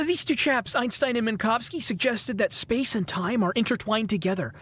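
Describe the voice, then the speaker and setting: A woman playing an eager, brainy seventeen-year-old boy inventor from 1915, husky and enthusiastic, in a period audio drama. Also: on the high side. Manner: enthusiastic, explanatory.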